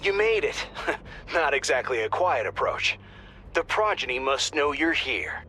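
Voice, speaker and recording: A man's voice: on the high side, very animated, measured pace, bright, forceful tone, heavily acted. A man playing an informal, earnest intel officer who gives squad updates in a video game, in a conversational way. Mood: urgent. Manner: conversational, alert. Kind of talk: scripted video game radio briefing. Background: faint music.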